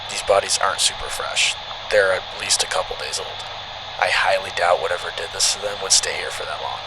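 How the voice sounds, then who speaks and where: A man acting as a steady, stubborn park ranger in a horror drama, matter-of-fact and insistent. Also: low-pitched, a little fast, background noise. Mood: insistent, reasoning.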